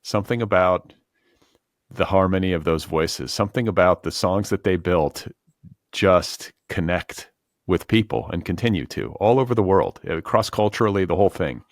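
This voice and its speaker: Man, deep voice, podcast host, casual and musing, conversational, dry studio-like sound. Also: moderate pace, no echo. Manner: musing, informal, circles around his point with fillers.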